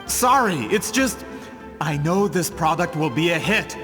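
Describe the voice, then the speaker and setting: An actor playing a scheming, vain merchant in a video game, with a theatrical, pompous, indignant manner. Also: male voice, fairly high pitch, animated, measured pace, music in the background. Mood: pleading, scheming. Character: scheming, vain male merchant with grand business ambitions.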